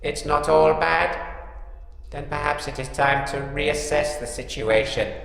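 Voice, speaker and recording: A man's voice: on the high side, a little slow, vocal fry, fairly bright tone, echoey room, big-room sound, faint music behind. A man playing a grandiose, villainous robot princess who makes cold, portentous pronouncements in a comic audio drama. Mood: dejected.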